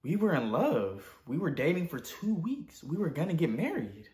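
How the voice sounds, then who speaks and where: A man's voice: a little high, very animated, normal speed, darkish tone. A young man with an American accent playing an awkward student in a casual comedy roleplay, slangy and hesitant. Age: young adult. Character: naive, awkward male student. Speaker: host of a parody channel. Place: indoors in a living room. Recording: roomy, echoey indoor sound.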